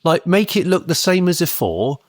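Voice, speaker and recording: A man's voice: high voice, very animated, moderate pace, no room sound. Congested man in casual podcast chat. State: congested, bunged up. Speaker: podcast co-host.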